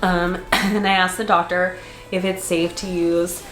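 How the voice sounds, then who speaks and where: A woman vlogger with a congested, stuffed-up voice, chatting casually to her camera and laughing often. Her voice sits on the low side for a woman. The sound bounces around an echoey room. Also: little pitch movement, a little slow, fairly bright tone, soft background music. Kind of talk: casual chat.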